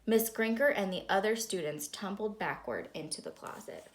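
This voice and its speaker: A woman reading a children's storybook aloud in an expressive, dramatic, suspenseful way. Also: mid-range voice, normal speed, slight background noise. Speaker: storybook reader.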